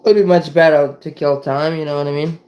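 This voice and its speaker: A young man from Ukraine with a Ukrainian accent, chatting casually to viewers on a live stream, rambling with halting restarts and lots of "you know". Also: a little high, steady tone, a little mellow, slight background noise. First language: Ukrainian and Russian.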